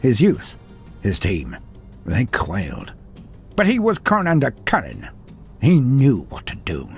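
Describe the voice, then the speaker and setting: A man reading a scripted story aloud with dramatic, expressive delivery. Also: mid-range voice, slow, soft background music. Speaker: narrator.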